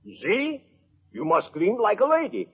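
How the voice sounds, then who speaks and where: An excitable, vain male stage director in a radio comedy. His husky voice swings widely from slow grandeur to high exasperation, on a thin old recording. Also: narrow, tinny audio, exaggerated voice. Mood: exasperated. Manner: theatrical, exasperated.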